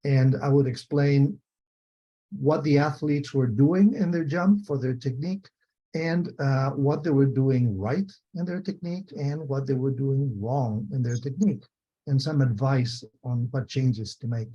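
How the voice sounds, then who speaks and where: A man's voice: medium pitch, a little slow, mellow. An older man from Spain with a Spanish accent gives an explanatory lecture over a video call, methodically repeating and rephrasing key points. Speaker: retired biomechanics professor, lecturer. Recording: dull, muffled video call audio.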